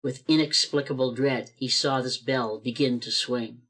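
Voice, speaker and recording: A man's voice: medium pitch, fairly even intonation, normal speed, a bit bright. A man narrating a church radio play over a video call, reading expressively and building suspense.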